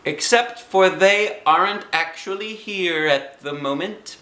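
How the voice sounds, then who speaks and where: A man voicing a self-assured, excitable little kindergarten girl who tells her storybook tale in emphatic childlike grammar. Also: high voice, slow pace, reverberant, voice pushed up, full character voice. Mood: proud, sweet. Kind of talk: first-person children's storybook reading.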